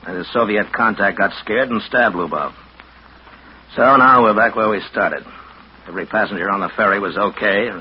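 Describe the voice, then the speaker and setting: An actor playing a tough, businesslike American government counter-spy agent, speaking in blunt, clipped mid-century radio drama style. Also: male voice, fairly low pitch, moderate pace, lightly acted. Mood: grave briefing. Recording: dull, noisy vintage radio recording.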